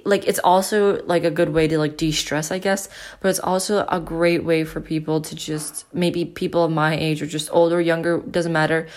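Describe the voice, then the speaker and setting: Deep-voiced rambling teen girl. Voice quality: deep, loud voice. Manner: rambling, filler-heavy, self-interrupting. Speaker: podcast host. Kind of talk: casual solo podcast. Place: at home with family.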